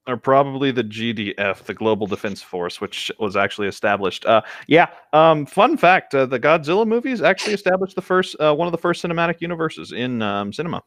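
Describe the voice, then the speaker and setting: A man chatting casually with friends in a halting, good-natured way. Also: medium pitch, lively intonation, fairly bright tone, no room sound, clean studio sound. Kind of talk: casual group chat.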